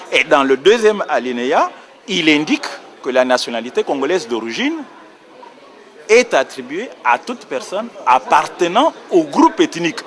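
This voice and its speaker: A man's voice: a little high, fairly bright tone. A man explaining a legal point on a panel in a precise, lecturing way, correcting himself as he goes. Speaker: round table panelist on nationality law.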